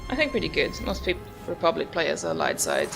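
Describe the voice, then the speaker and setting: Low-voiced, creaky woman gamer musing. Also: wildly swooping pitch, a little fast. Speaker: gamer, video host. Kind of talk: casual game commentary. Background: video game music.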